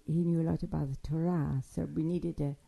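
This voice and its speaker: An older woman telling her life story in a halting, digressive way, with frequent restarts and fillers. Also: low-pitched, fairly even intonation, creaky, warm tone. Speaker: interviewee recounting childhood. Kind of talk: spoken memoir, oral history.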